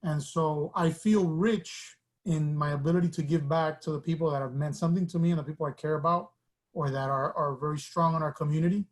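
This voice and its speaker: Man from Miami, interview guest, fairly high voice, reflective storyteller who restarts his sentences. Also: steady tone, a little fast. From Miami, United States. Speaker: guest artist.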